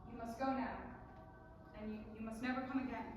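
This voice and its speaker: Female actor as manipulative stage heroine, cool and deliberate, fairly high voice, heard far off in a noisy hall. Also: level tone, close to an everyday voice. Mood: urging, intense. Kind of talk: staged play reading, theatrical acting. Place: large echoey indoor performance space, distant mic.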